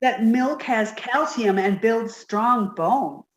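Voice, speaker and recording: Radio sitcom ditz , played by a woman: naive, skittish young woman, high and unhurried, in a roomy recording. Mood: naive, earnest. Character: dim, childlike, easily frightened young woman, comedy ditz. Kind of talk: 1950s radio sitcom reading.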